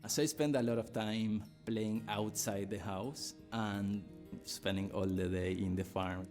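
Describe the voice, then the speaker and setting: A man from Argentina slowly recalling his rural childhood as a student in a promotional video. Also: lowish voice, fairly even intonation, darkish tone. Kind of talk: personal testimonial. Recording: voice over guitar music bed.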